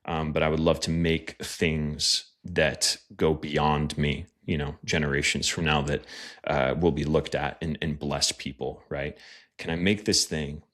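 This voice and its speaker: Very deep-voiced earnest man. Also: level tone, measured pace, fairly bright tone. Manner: earnest, reflective, with fillers. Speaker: podcast guest, pastor and writer. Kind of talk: casual interview chat.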